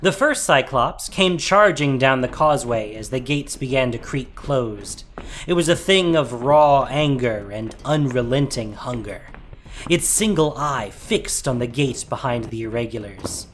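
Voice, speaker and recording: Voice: medium pitch, a little slow, a little hiss or hum. A narrator reading a scripted dramatic fantasy story, with music behind.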